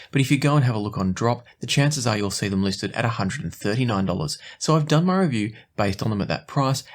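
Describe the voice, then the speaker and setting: Low-pitched man hosting a product review. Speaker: host of an audio gear review show. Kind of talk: conversational product review to camera.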